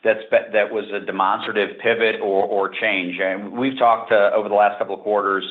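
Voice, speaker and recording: A man speaking as a company executive, explaining things off the cuff on an earnings call in measured, hesitant phrases. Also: lowish voice, level tone. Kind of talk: unscripted answers on an earnings call. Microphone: conference call line. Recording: narrow, phone-like conference call audio.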